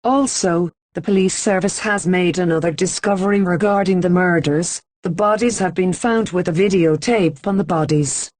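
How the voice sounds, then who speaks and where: Woman, emergency alert announcer, formal even delivery, lowish voice, dry close sound. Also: moderate pace, hardly any pauses, no echo. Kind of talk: scripted emergency broadcast announcement.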